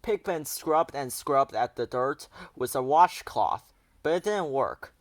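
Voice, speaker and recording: A man's voice: mid-range voice, expressive, slow, no room sound. A man narrating a children's picture book aloud as a storyteller.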